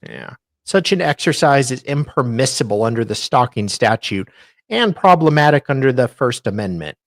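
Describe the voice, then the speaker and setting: A man's voice: medium pitch, sing-song, normal speed, no room sound, clean studio sound. A man co-host reading formal text aloud, stumbling now and then over the words.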